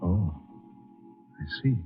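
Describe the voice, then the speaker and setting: A man's voice: slow, faint music behind, lightly acted. An American actor playing a skeptical but caring male companion in a 1940s radio drama. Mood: gentle, inquisitive. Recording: muffled, lo-fi vintage radio broadcast recording.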